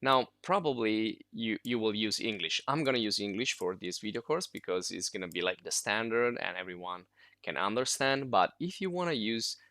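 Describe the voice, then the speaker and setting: A man whose first language is Italian walks through a software tutorial in a loose, informal way, full of fillers and restarts. His voice sits fairly low yet sounds bright and forward. The recording is dry and close, with no room around it. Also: animated, moderate pace, no room sound. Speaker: software instructor.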